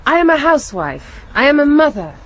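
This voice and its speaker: Radio drama role: English housewife and mother, slightly high voice, plain, composed delivery. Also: very animated, brisk, highs cut off. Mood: composed, wry.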